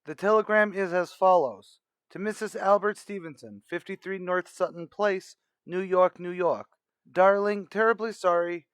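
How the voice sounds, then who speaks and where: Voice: medium pitch, moderate pace, smooth, no room sound, lightly acted. An actor as a dry-sounding, businesslike telegram clerk. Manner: formal, matter-of-fact recital. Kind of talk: retro radio drama acting.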